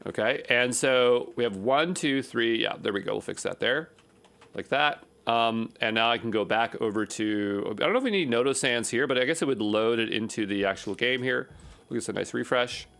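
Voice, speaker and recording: A man with a mid-pitched voice works through a coding tutorial as an instructor. He talks casually and off the cuff, reasoning aloud as he goes. His sentences ramble and often end in a quick check for agreement. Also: vocal fry, a bit bright. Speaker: programming instructor. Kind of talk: unscripted screencast coding tutorial, thinking aloud.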